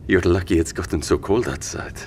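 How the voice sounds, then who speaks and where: Man playing deep-voiced, gentle civilian. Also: fairly quick, slight background noise, close to an everyday voice. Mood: gentle, explaining. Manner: hesitant, gentle, wordy. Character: kindly, flustered civilian man sheltering survivors. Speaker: actor in an audio drama. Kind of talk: scripted audio drama acting.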